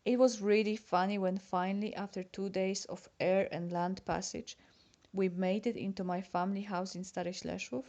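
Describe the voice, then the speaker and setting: Polish woman, native Polish speaker, confiding letter-reader, relaxed dark tone, mid pitch. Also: moderate pace, dull audio. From Poland. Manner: chatty and confiding, addressing a friend. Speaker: letter writer. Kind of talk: reading a personal letter aloud.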